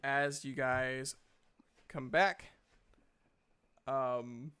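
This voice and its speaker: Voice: medium pitch, steady tone, extremely slow, bright, forceful tone, smooth. A game master casually talking with players and narrating a tabletop game.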